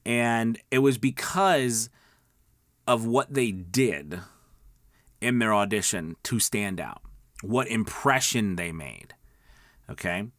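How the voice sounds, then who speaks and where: Guy with a lowish voice coaching on video, chatty but emphatic, really dry recording. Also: expressive, takes it slowly, long pauses, fairly bright tone, no echo. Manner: emphatic, checks in with okay and right. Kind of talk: conversational instructional vlog to camera.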